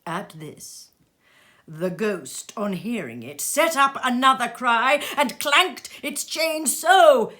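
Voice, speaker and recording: A woman reading a classic story aloud in an expressive storybook narration, recorded in a sitting room. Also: a little high, slow. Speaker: story reader.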